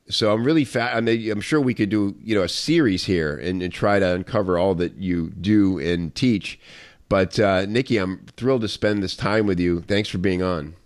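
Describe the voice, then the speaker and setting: A man's voice: on the low side, normal speed. A man hosting a podcast, introducing and questioning his guest in an enthusiastic, rambling way with many fillers. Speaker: podcast host. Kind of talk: interview conversation.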